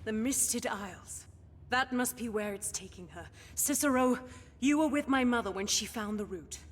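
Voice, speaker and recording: An actress playing a proud, bitter young noblewoman with a sharp, haughty, accusing manner in a dramatic video game. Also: a little high, normal speed, long reverb tail, a little hiss or hum, lightly acted. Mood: urgent.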